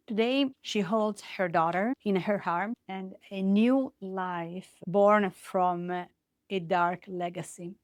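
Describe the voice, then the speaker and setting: A woman's voice: medium pitch, no room sound, studio quality. A woman with an Italian accent, a native Italian speaker, hosting and narrating scripted true-crime stories in short, dramatic phrases. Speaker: host of a true-crime series.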